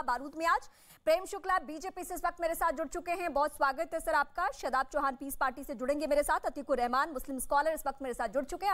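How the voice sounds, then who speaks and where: A woman with a high voice moderates a televised news debate. She presents like a live anchor, with emphatic, formal delivery. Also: fairly bright tone.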